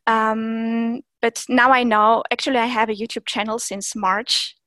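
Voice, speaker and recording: A woman's voice: fairly high pitch, level tone, unhurried, sharp tone, no room sound. A woman whose first language is Russian, speaking English as an interview guest about teaching German, with many fillers and restarts. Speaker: German teacher and Instagram creator. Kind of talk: interview guest, casual conversation.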